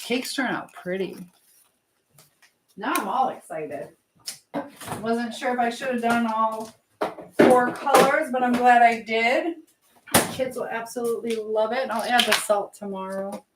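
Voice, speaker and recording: A woman's voice: fairly high pitch, measured pace, pauses often, heavy background noise. A woman hosting a casual live stream as she cooks in a home kitchen, chatting in a meandering way and greeting viewers. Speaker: livestream host. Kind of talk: casual live-stream chat while cooking, reading out comments.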